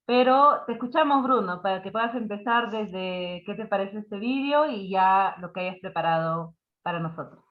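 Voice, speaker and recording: A woman hosting an online meeting, speaking in a brisk, friendly way. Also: medium pitch, animated. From Peru. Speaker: host. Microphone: video call. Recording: tinny phone-like call audio.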